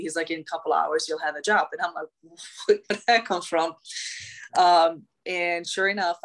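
A young adult woman from Iraq, a guest in an interview, telling her story in a chatty, animated way with many fillers and restarts. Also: lowish voice.

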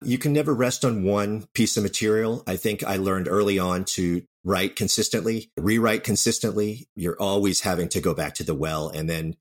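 Low-voiced man chatting as interview guest. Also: moderate pace, hardly any pauses. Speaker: interview guest, screenwriter and producer. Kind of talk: casual interview chat about own career. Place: remote call from home.

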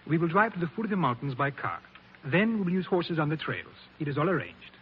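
A man's voice: a little high, expressive, fast talker, natural voice. Guy doing a sneaky polite foreign guide, formal wording, muffled noisy 1940s radio drama. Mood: polite, guarded. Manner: formal, deferential phrasing. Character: polite, sly male bandit posing as mountain guide.

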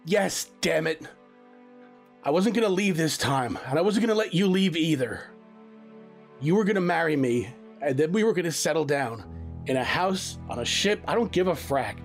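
A man playing a gruff, devoted smuggler who talks rough and emotional to his wounded partner. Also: a little high, expressive, normal speed, soft background music. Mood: pleading.

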